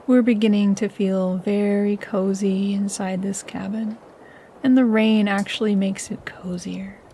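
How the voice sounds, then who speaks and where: A woman leading a scripted guided meditation in a soothing, measured, instructional manner. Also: medium pitch, warm tone, slight background noise.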